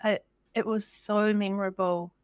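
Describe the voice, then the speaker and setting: A woman's voice: mid-range voice, flat delivery, moderate pace, clear, resonant tone, dry recording, clean studio sound. A middle-aged woman with a New Zealand accent, hosting a podcast interview in a friendly, chatty, informal way. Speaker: podcast host.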